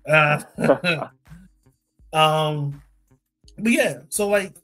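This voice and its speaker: A man's voice: a little high, extremely slow, sharp tone, soft background music. A man hosting a casual sports podcast, talking in an informal, enthusiastic way. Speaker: podcast host.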